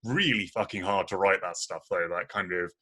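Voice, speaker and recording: Guest: young man, very low voice, bright and forceful tone, casual and self-deprecating. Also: normal speed, slightly rough. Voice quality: very deep voice. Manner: laughs often, self-deprecating. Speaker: podcast guest, bassist. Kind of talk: casual chat.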